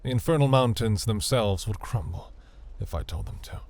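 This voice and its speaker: A man voicing a seductive, imperious demon prince in a fantasy audio roleplay, velvety, teasing and grandiose, with a breathy, gravelly murmur. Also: on the low side, expressive, normal speed, darkish tone, close to an everyday voice. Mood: indulgent, imperious.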